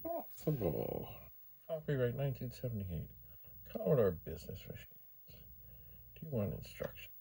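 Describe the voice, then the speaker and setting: A man's voice: medium pitch, huge pitch swings, moderate pace, vocal fry, pauses often, dark tone, slight background noise. A man hosting a hobby video, giving casual, rambling commentary as he demonstrates, with musing asides to himself. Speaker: host of a retro computer video channel.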